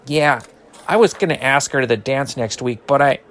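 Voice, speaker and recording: A man's voice: mid-range voice, moderate pace, fairly bright tone, lightly acted. A man playing an eager, bashful but brash ninth-grade boy in an audio drama.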